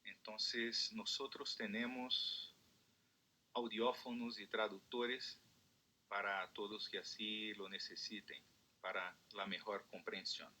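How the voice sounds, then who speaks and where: A man's voice: fairly low pitch, little pitch movement, sharp tone. A man with a Brazilian Portuguese accent, a native Portuguese speaker, formally opening a court hearing as its presiding judge. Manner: slow, measured, formal.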